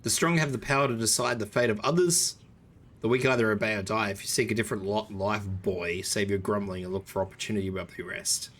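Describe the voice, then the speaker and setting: Stern ship commander from a video game, read by a hoarse man, low and lecturing. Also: fast talker, fairly bright tone, slight background noise.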